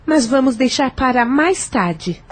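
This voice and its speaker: A woman's voice: sits high, animated, measured pace, slight background noise. An actress playing a concerned, strict mother with a maternal, scolding manner in an audio novel.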